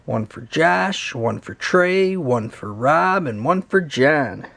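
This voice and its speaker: A man narrating a children's read-along story in an expressive, swooping storytelling manner. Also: a little high, takes it slowly, gravelly.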